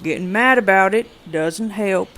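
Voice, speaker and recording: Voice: medium pitch, measured pace, bright, forceful tone. A narrator voicing grumpy old retired men who grumble and swear. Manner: gruff, grumbling.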